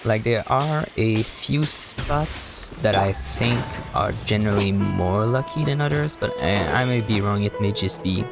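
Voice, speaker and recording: A man casually commentating a live game stream, explaining things in a hesitant, halting, rambling way. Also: lowish voice, gravelly. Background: music.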